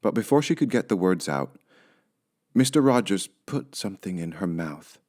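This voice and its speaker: A man's voice: sits low, very animated, normal speed, a little mellow. A man narrating a children's audiobook as a scripted storyteller.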